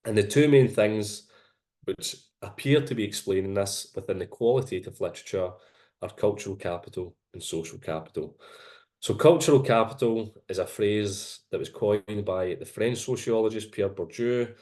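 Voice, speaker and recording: A man's voice: lowish voice, normal speed, a little mellow. Glaswegian man giving a seminar talk. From Glasgow. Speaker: presenting researcher. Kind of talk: academic seminar presentation. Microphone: video call.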